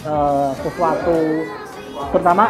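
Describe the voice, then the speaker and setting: A man who owns a restaurant answers questions in a thoughtful, unhurried way, often pausing with fillers to find his words. Music plays clearly behind him. The chatter of diners fills the room. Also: fairly high pitch, fairly even intonation. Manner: thoughtful, pauses with many fillers. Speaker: restaurant owner. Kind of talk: casual interview answers. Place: busy restaurant. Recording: loud music and crowd chatter.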